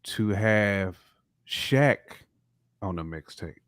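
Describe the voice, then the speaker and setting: Low-voiced man in casual, emphatic chat. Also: lively intonation, very slow, fairly bright tone, dry recording, clean studio sound. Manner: slangy, emphatic, repeats phrases for emphasis. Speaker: hip-hop podcast co-host.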